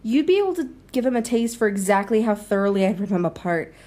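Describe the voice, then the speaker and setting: A woman's voice: mid-range voice, sing-song, fast talker, mellow, close to an everyday voice. A woman acting a biting, vengeful journalist in an audio drama, cutting and full of relish. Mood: scornful, relishing.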